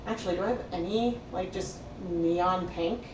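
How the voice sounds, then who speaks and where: A slightly hoarse woman hosting a casual livestream tutorial, chatty and thinking aloud with plenty of fillers. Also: lowish voice, normal speed, highs cut off, background noise. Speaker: livestream host dyeing yarn. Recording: roomy sound from a distant mic.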